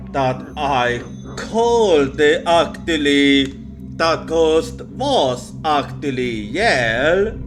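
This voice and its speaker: A man telling ghost stories in a horror ASMR roleplay, speaking haltingly and hesitantly with long pauses. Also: mid-range voice. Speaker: ASMR storyteller. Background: music.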